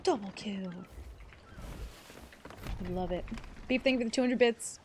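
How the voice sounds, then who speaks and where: A woman livestreaming a game, giving chatty, excitable commentary and swearing freely. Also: on the high side, unhurried, background noise. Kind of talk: casual gameplay commentary.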